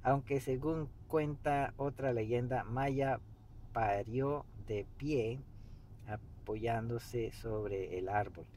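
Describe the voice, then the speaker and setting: A man in his fifties reading a book aloud meditatively in a halting way, pausing mid-sentence, recorded inside a car. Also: mid-range voice. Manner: halting, pauses mid-sentence, clears throat. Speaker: amateur reader of a book. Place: inside a parked car by a street. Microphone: camera an arm's length or so away. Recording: steady background noise inside a car.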